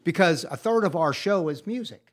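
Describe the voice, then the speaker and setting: Higher-voiced man co-hosting a podcast. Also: lively intonation, normal speed. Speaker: podcast co-host. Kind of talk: casual live introduction.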